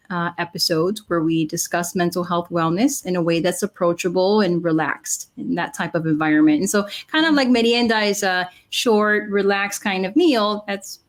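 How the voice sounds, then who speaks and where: A woman in her forties co-hosts a relaxed conversation and speaks in a slightly low register. She explains ideas earnestly and often pauses on "uh" as she works through a thought. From Cuban and Dominican background. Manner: many "uh" fillers, earnest explaining. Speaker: podcast co-host, clinical psychologist. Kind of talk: casual chat.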